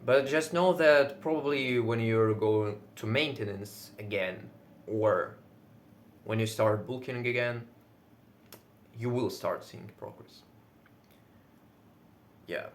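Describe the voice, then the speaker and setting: A man whose first language is Russian, speaking English with a Russian accent in casual solo talk, now and then pausing to search for words and swearing lightly. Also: medium pitch. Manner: says he is loud most of the time. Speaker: video creator.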